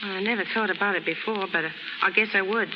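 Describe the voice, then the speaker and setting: A woman's voice: mid-range voice, quick pace, noisy background, natural voice. An actress playing a brash, naive young chorus girl from the slums in 1930s American radio drama, with slangy, ungrammatical street talk. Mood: eager, wistful. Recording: muffled 1930s radio recording with music.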